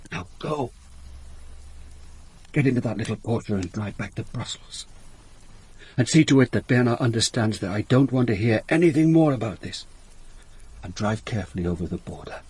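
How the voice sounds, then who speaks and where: An actor playing a blunt, world-weary police inspector in a radio drama, terse and commanding, both in dialogue and first-person narration. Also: male voice, lowish voice, darkish tone, breathy edge. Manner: terse, commanding, hard-boiled.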